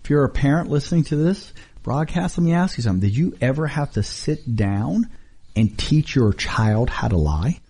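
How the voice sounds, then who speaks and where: A man's voice: moderate pace, breathy edge. A middle-aged man preaching a radio sermon, emphatic and full of rhetorical questions; English is not his first language. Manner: emphatic, rhetorical questions, lively swings in pitch.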